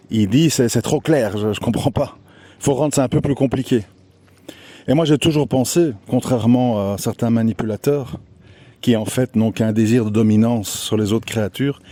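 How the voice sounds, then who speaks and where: A man speaking alone in a reflective, preachy monologue, measured and searching for words with frequent hesitations and rhetorical questions. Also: mid-range voice, mellow, dry recording. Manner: asks rhetorical questions to the listener. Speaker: online commentator.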